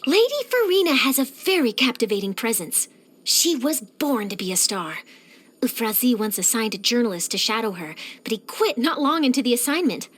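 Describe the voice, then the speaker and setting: Young female game journalist, high bright-eyed chatter, swooping intonation, nosy, dramatic, gossipy. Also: normal speed. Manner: theatrical, expressive, gossipy. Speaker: video game character voice. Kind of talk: animated game character voice lines.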